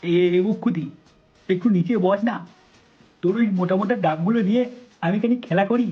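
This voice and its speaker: Man voicing a fast-talking crude cartoon doctor. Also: high voice, husky, muffled recording, faint music behind. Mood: lecherous. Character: lecherous crude greedy male doctor. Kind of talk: comedy cartoon voice acting.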